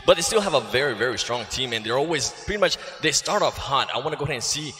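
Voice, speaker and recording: A man working as an esports caster, giving excitable, casual desk commentary. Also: mid-range voice, fast. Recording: long reverb in a large hall.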